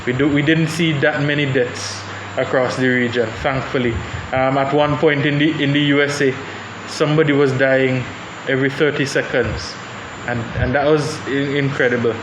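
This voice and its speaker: A man's voice: medium pitch, flat delivery, moderate pace, hardly any pauses. Man panelist, echoey room. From Saint Vincent and the Grenadines. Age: young adult. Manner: thoughtful, hesitant, many restarts. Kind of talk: panel discussion. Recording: echoey room with background noise.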